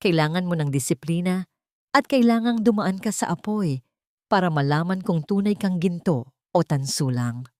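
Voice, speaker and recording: A woman voicing a stern, exacting male boss in an audio drama, measured and commanding. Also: lowish voice, lively intonation, no echo, studio quality, close to an everyday voice.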